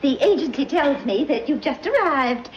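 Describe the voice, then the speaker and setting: A woman's voice: high-pitched, animated, normal speed, slight background noise. A woman playing a fluttery, gushing wealthy society mother in an old film. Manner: fluttery, gushing, breathy little laughs. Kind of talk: 1940s film acting. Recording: dull old film soundtrack.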